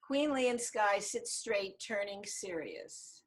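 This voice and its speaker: A woman's voice: mid-range voice, animated, takes it slowly. Female narrator, mid-range voice, scripted screenplay narration, stage directions, video call.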